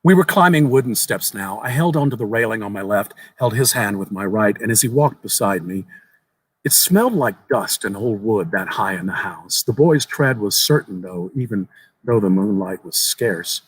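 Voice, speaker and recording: A man giving a measured, suspenseful dramatic reading of a horror short story. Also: on the low side, animated, husky, poor audio. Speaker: story reader at a live event.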